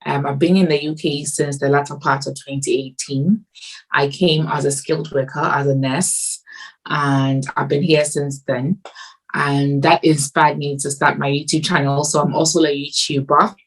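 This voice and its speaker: Low-voiced Ghanaian-born nurse explaining. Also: female voice, flat delivery, poor audio. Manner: measured, explanatory, frequent ums. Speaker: guest, nurse. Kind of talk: interview answers.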